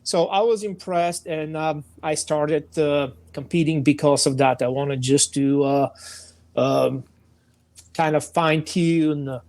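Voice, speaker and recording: A man with a Bulgarian accent, his voice pitched a little high for a man, speaking on a podcast as a guest instructor. He pauses a lot with "uh" while he searches for words. The sound is dry and close. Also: darkish tone, no room sound. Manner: many hesitations, frequent "uh". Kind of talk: podcast interview.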